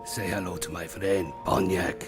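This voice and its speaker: Brief: a man as a menacing radio-drama heavy, formal and clipped, lowish voice. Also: level tone, normal speed, music in the background, close to an everyday voice. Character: cold, cruel male thug, formal and menacing. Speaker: villain's henchman. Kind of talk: amateur radio drama acting.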